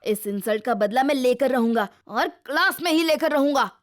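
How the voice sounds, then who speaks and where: High-pitched blustering cartoon schoolboy. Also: female voice, expressive, normal speed, music in the background. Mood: determined. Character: earnest, gullible, boastful schoolboy class monitor. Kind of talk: Hindi children's cartoon dubbing.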